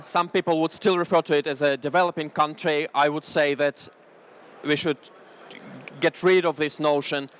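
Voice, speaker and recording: A man's voice: a little high, steady tone, normal speed, a bit bright, smooth. A young man with a Russian accent, a business consultant presenting a seminar, explaining in a hesitant way with frequent restarts. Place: conference room.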